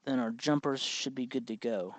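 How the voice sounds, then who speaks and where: A man's voice: mid-range voice, normal speed, no echo. A man hosting a hands-on tutorial, explaining step by step in an informal, casual way. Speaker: tutorial host.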